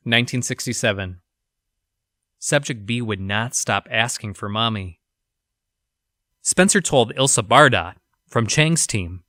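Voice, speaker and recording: A man plays a male 1960s scientist in an audio drama, reading out his grim journal. His voice is bright and forward at a middle pitch. He reports in a measured, clinical way with an uneasy undertone, recorded completely dry. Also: dry recording, close to an everyday voice. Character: 1960s male lab researcher recounting grim experiments, unsettled.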